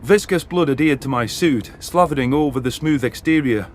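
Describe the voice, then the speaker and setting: A man's voice: mid-range voice, moderate pace, a little hiss or hum. A man narrating a science fiction story in the first person with dramatic delivery. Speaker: audiobook narrator. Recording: narration over background music.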